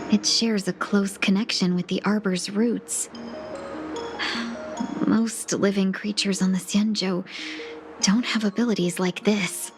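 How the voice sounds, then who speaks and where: Actress as slow, composed woman guide. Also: medium pitch, lightly acted. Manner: measured, formal. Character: composed, courteous, knowing young woman guide. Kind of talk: video game voice acting. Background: music.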